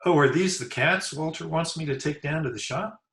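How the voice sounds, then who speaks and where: A man's voice: a little high, a little fast, fairly bright tone. A man playing an eager, good-natured, slightly dim teenage schoolboy in an old-time radio comedy, folksy in manner, in an echoey room. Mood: eager, friendly. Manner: folksy, gee-whiz, simple. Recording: echoey room over remote call.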